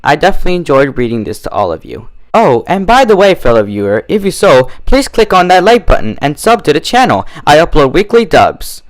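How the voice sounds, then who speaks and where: A man reads and reviews stories in an expressive, casual way. His pitch moves around a great deal, and the recording is dry and close. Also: a little fast, hardly any pauses, no echo. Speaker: fan fiction reader and reviewer. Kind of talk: storybook narration and casual review.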